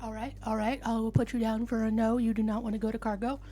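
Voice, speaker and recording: A woman's voice: on the high side, level tone, fast talker, mellow, slight background noise. A woman playing a tabletop roleplaying game, chatting casually and marshalling the group with playful, bossy phrasing.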